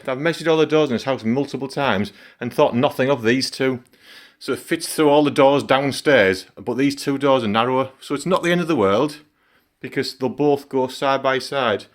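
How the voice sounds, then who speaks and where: A middle-aged man with a croaky voice from a cold, chatting casually in a home vlog as he works and comments on it. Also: mid-range voice. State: hoarse from a cold. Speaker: gaming channel host.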